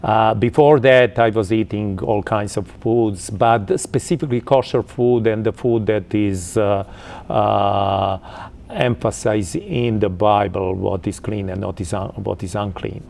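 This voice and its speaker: A man in his fifties from Croatia with a Croatian accent, answering interview questions in a halting way with many fillers. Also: on the low side, creaky, a little mellow. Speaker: interview guest.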